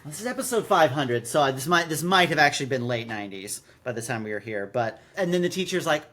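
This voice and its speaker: A man's voice: a little high, animated, fast, pushed and bright. A man co-hosting a podcast, recapping a story in casual chat with many repeated words and rambling, exasperated asides. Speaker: podcast co-host. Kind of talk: casual chat, recapping and critiquing a radio drama. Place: recorded in person with co-host.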